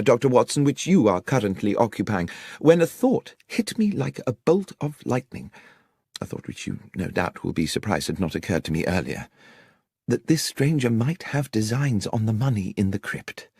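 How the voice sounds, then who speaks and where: A man's voice: mid-range voice, big pitch swings, moderate pace, darkish tone, slightly rough, dry recording, studio quality. Man voicing an earnest young clergyman, swooping intonation. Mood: earnest, recounting. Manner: articulate, polite, wordy and earnest. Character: anxious, courteous young male country clergyman. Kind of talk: audiobook character dialogue.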